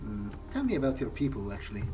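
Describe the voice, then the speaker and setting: A man's voice: medium pitch, wildly swooping pitch, quick pace, mellow. A man hosting a let's play, speaking conversationally in character in a hesitant, musing way. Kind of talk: in-character gameplay roleplay, conversational. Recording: faint game music behind. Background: game soundtrack.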